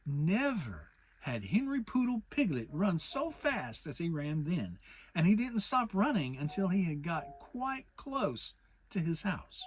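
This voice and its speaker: A woman narrates a children's audiobook in a dramatic style. Her voice sits low and is rather husky. The pitch moves around a great deal, very animated. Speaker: storybook narrator.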